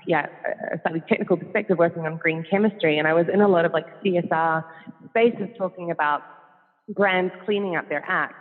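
A man's voice: fairly high pitch, fairly quick, slightly rough. A man with a New Zealand accent, chatting as a podcast guest, laughing often and restarting his sentences. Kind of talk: casual interview talk. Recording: phone-like line with long reverb.

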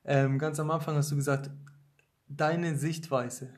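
Male host, slightly high pitch, casual and hesitant, lots of fillers. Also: little pitch movement, unhurried. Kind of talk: casual conversation.

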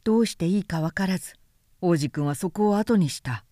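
Expressive woman narrator, very dry sound. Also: fairly low pitch, a little fast, no echo. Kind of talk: literary storybook reading.

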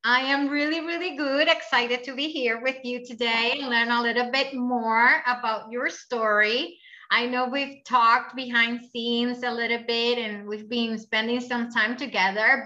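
A woman's voice: on the high side, steady tone, a little slow, bright, forceful tone, dull audio. A woman hosting an interview podcast, enthusiastic and effusive with her guest. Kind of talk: casual interview chat.

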